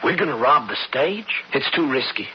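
An actor playing a hesitant, earnest young cowboy, a reluctant younger brother, with an American Western accent in a radio western. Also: male voice, mid-range voice, lively intonation, moderate pace, close to an everyday voice. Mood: doubtful, worried. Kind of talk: radio western drama acting. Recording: muffled, noisy old radio broadcast.

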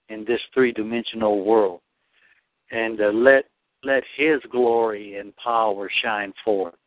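A man speaking earnestly and devoutly about faith, with halting restarts. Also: mid-range voice, dry recording. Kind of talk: spiritual discussion. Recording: dry phone line.

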